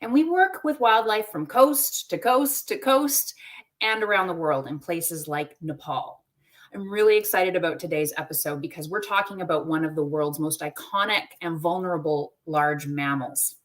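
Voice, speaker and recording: A woman's voice: fairly low pitch, moderate pace, fairly bright tone. Woman, webinar host, upbeat, chatty, friendly, video call mic. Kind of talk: webinar hosting.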